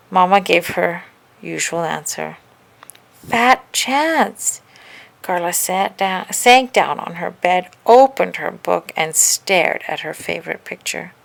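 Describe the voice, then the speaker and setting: A woman narrating a children's picture book aloud in an expressive, scripted storytelling style. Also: lowish voice, a little slow, creaky.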